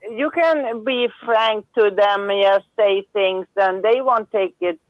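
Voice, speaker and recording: A woman from Sweden with a Swedish accent, a regular caller speaking English slowly and hesitantly on a call-in show. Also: medium pitch, no room sound. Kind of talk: casual call-in chat. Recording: muffled phone line.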